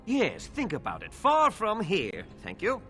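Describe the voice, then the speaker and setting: Slow, swooping, dryly weary shopkeeper. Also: male voice, sits high, wildly swooping pitch, pushed and bright, faint music behind, exaggerated voice. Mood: dismissive. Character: weary male shopkeeper, dryly eager to be rid of customers. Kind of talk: video game character dialogue.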